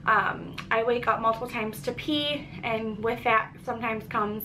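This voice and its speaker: A young pregnant woman talks through her weekly update in a loose, chatty way, sprinkling in ums and restarting her sentences. Her voice sits a little high and sounds stuffy and short of breath. A faint background noise runs under the recording. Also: fairly even intonation, moderate pace. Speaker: pregnancy vlogger. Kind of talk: casual pregnancy update vlog.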